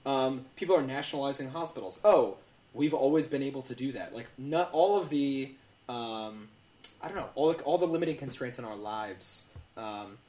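Male podcast host, roomy echo, mid-pitched, wry and halting, conversational. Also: mellow.

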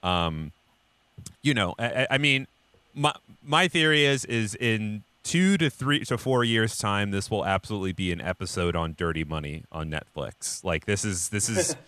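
An American man co-hosting a podcast in casual political discussion, talking in a halting, rambling but emphatic way. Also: on the low side, expressive, bright, forceful tone, clear, resonant tone, no echo. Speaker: podcast co-host.

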